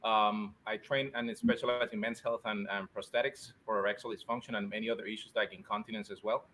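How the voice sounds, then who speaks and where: A man from Spain, a urologist guest answering interview questions conversationally, somewhat halting and repeating words. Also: fairly low pitch, flat delivery, fairly bright tone, rough recording. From born in Spain, Puerto Rican and Spanish family. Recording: faint background noise.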